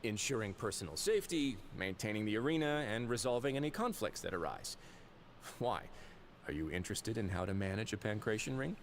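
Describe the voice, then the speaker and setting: An actor playing a composed, authoritative prison duke in a video game, speaking in a measured, formal, wry way. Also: male voice, lowish voice, expressive, slight background noise. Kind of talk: game voice acting. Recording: loud game music behind.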